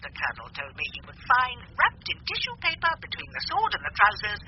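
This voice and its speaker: A woman's voice: high voice, wildly swooping pitch, fairly quick, vocal fry, heavily acted. A woman playing a chatty, genteel, eccentric English spiritualist, earnest and matter-of-fact, in a radio drama. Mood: confiding. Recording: dull, lo-fi hissy radio recording.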